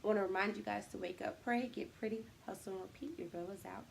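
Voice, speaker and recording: A woman's voice: mid-range voice, steady tone, fast, creaky, a little hiss or hum. A woman hosting a reading vlog in casual, slangy, upbeat, encouraging chat. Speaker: host of a book-reading vlog. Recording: music playing behind the voice. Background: background music.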